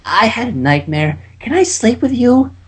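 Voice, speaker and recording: A man voicing a flustered young unicorn mare, denying things in a high, hurried voice. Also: big pitch swings, slightly rough. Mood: pleading.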